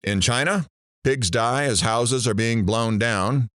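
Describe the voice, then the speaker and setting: An actor playing a sardonic morning radio host in an audio drama, with dry, theatrical sarcasm. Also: male voice, mid-range voice, slow, a bit bright, no echo. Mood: dry, sarcastic. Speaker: radio talk show host.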